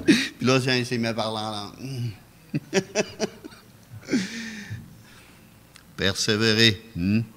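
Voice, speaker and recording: A man with a Québécois French accent preaching a calm, anecdotal testimony sermon in a church, chuckling often. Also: fairly low pitch. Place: church sanctuary. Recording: echoey church hall.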